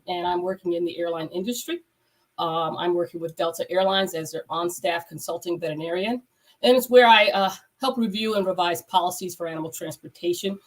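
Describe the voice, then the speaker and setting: A woman's voice: fairly low pitch, rough recording. A woman veterinarian hosting a casual live stream, her voice congested, talking in a rambling way with many fillers and restarts. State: congested from a cold. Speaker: veterinarian and podcast host. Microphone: video call.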